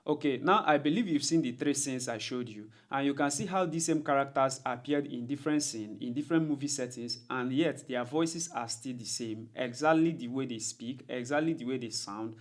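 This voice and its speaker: Methodical male tutorial presenter, medium pitch. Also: moderate pace, few pauses. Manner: methodical, repetitive step-by-step explaining. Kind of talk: instructional screen-recording narration.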